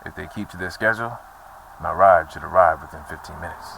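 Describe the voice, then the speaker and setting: An actor playing a weary, troubled man bent on revenge, speaking slowly and grimly in a horror audio drama. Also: deep voice, creaky, far from the mic, background noise. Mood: resigned.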